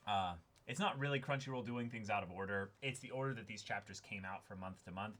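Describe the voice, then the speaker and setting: A man's voice: fairly low pitch, fairly quick, fairly bright tone. A man co-hosting a stream in casual chat, speaking agreeably and often repeating short affirmations.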